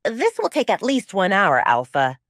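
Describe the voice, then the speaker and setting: Young male doctor, voiced by a woman, friendly, deferential, professional, lively intonation, audiobook drama. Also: medium pitch, moderate pace, no echo, studio quality. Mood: friendly, courteous. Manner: courteous, deferential. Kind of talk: audiobook romance drama acting.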